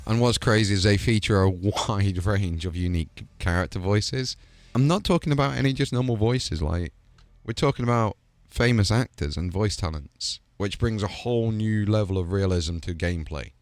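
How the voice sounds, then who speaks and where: Low-voiced man hosting a hyped showcase. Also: moderate pace, no room sound. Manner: hyped, rambling, with filler words. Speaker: host. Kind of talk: enthusiastic product showcase.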